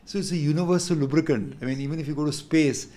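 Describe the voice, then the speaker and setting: A man in his sixties with an Indian English accent, talking thoughtfully as a guest in an unhurried interview. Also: a little high, mellow. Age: 60s. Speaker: guest investor. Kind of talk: candid interview talk.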